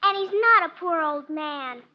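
A female performer playing an earnest, trusting little girl with simple, deliberate delivery in a 1940s radio drama. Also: very high-pitched, expressive, slight background noise. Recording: muffled vintage radio recording.

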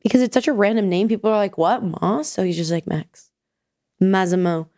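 A woman's voice: mid-range voice, moderate pace, mellow, no echo, studio quality. A woman co-hosting a casual podcast, chatty and sick with a nasal voice. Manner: chatty, tells stories with acted quotes. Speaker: podcast co-host.

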